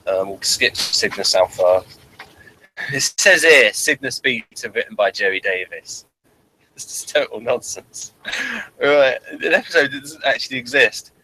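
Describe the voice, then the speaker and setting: A man's voice: mid-range voice, lively intonation, a little slow, sharp tone, husky, rough recording. A man hosting a casual review chat, rambling and repeating phrases as he thinks, sometimes reading from notes. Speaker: review show host.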